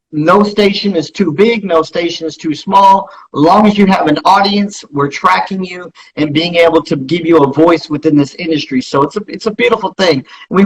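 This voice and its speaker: A man with a husky voice speaking as an interview guest, explaining his company in an earnest, emphatic way and repeating key points. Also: a little high, a bit bright, poor audio. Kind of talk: promotional interview talk.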